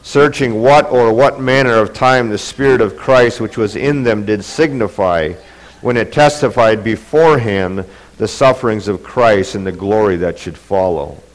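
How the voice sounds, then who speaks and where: A man's voice: lowish voice, normal speed, low-quality recording. Congested preaching man. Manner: earnest, building in intensity. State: congested from a cold. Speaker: pastor. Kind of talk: sermon. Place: church.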